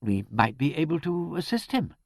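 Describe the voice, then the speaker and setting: A man's voice: medium pitch, huge pitch swings, moderate pace, slightly rough, no room sound, clean studio sound, pitched above the performer's own voice. Man doing an anxious, hesitant English vicar. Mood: anxious hope. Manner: hesitant, pleading.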